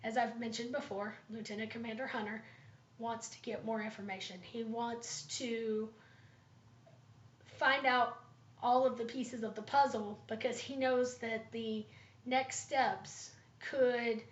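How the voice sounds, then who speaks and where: Woman student presenter, slightly raspy, pitched a bit high, pauses with ums; dull, roomy home recording. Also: steady tone. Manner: thoughtful, with frequent ums and pauses. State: slightly raspy from pollen allergies. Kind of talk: class presentation, leadership analysis.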